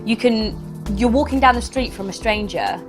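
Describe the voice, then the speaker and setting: A woman's voice: on the high side, moderate pace, lightly acted. A woman playing a friendly, self-assured young date, chatting casually and hesitantly with plenty of filler. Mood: enchanted. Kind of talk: casual conversational dialogue. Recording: music clearly behind the voice.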